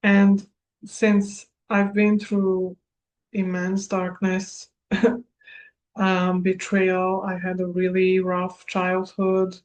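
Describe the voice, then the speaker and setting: A woman in her thirties from Serbia, a native Hungarian speaker, giving a recorded spiritual coaching class in a measured manner. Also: mid-range voice, monotone, darkish tone, poor audio. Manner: slow, measured, with small laughs. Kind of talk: recorded masterclass talk.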